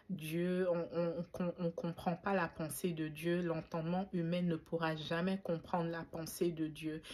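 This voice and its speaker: A woman's voice: low voice, darkish tone, slight background noise. Reflective woman vlogger from Belgium. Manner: reflective, faith-inflected, many fillers and restarts. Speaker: YouTuber. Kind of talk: casual talk to camera.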